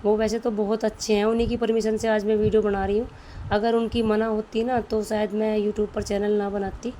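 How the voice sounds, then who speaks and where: A woman with a mellow, slightly dark voice and a sore throat, chatting in a homely way straight to her viewers. There is faint noise behind her. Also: mid-range voice, level tone, brisk. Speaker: vlogger. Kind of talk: casual home vlog voice-over.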